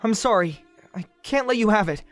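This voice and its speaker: A woman playing a gentle, sheltered young forest man, shy and earnest, in an audio drama. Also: medium pitch, animated, brisk, no room sound, faint music behind, natural voice. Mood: earnest, protective. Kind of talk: fan audio drama acting.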